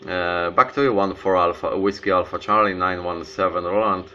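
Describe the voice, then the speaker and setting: Low-voiced man, bright and halting. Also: steady tone. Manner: halting, repeats words, non-native phrasing. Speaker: amateur radio operator. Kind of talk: casual radio contact. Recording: dull lo-fi sound, faint hiss.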